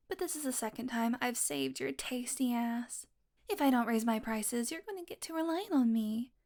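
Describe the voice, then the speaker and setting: A woman's voice: sits high, a little mellow. A woman playing a sardonic, flirtatious, possessive female vampire in an intimate audio roleplay, talking teasingly to the listener and sometimes dropping into a murmur. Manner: sardonic, teasing, casually swearing, slipping into breathy murmurs.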